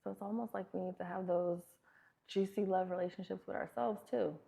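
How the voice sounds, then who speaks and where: A woman's voice: medium pitch, steady tone, a little fast, gravelly, dark tone, slightly rough. A woman hosting a talk show in a casual, chatty way with informal, playful asides.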